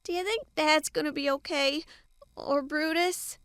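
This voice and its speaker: Very high-pitched timid farm boy, acted by a woman. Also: fairly even intonation, unhurried, fairly bright tone, no echo, clean studio sound. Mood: worried. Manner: pleading, childlike, hesitant. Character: frightened young farm boy, tearful and timid. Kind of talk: audio drama acting.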